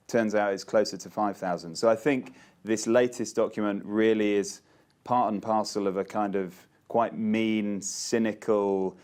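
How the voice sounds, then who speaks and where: A man with a very deep voice speaks in a flat, even tone. He answers interview questions in a studio and makes his points earnestly and emphatically. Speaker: campaign group deputy director.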